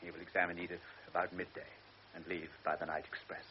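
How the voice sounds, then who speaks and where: A man's voice: low voice, flat delivery, fast, close to an everyday voice. A man playing a frail anxious old baron. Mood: pleading. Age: older. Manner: earnest, stammering, drops to hushed tones. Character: frail elderly baron, anxious devoted father. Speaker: aging baron and anxious father. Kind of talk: classic radio drama acting. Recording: lo-fi radio recording with faint hiss.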